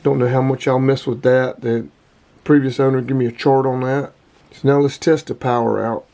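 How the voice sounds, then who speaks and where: Male hobbyist host, informal and plain-spoken, medium pitch, talking through a casual gear review. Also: flat delivery, normal speed, gravelly, mellow. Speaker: host of a radio hobby channel.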